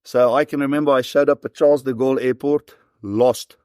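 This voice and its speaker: A man's voice: medium pitch, steady tone, fairly quick, darkish tone, clean tone, no echo, studio quality. A man in his forties with a South African accent, telling stories in an easygoing, conversational way as an interview guest. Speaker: professional hunter and former soldier, interview guest.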